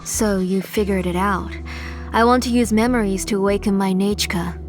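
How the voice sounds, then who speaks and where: An actress playing a grieving mother turned obsessed villain in a game cutscene, speaking softly and dropping into tender whispers. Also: medium pitch, steady tone, moderate pace, over music, lightly acted. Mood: haunted. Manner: soft, haunted, tender turning to whispers. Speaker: antagonist.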